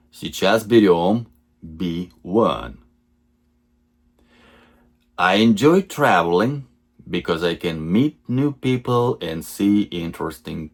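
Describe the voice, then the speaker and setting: Deep slow-talking man teaching English. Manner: measured, repeats each sentence for learners. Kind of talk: slow lesson reading example sentences twice.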